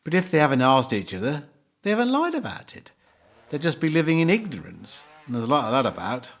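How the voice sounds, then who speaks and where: Middle-aged husband, male actor, polite, pedantic and a bit slow, animated mid-range voice for comic audio drama. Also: mid-range voice. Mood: hesitant, pedantic. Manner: hesitant, literal-minded, polite. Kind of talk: two-hander comic audio play dialogue.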